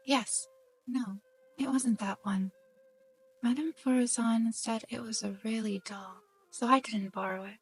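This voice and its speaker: A woman voicing a sleepy, timid, overworked young student in a fan-dubbed anime game, soft and hesitant. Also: fairly high pitch, fairly even intonation, unhurried. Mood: drowsy, hesitant. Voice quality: soft, breathy. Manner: drowsy, hesitant. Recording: background music.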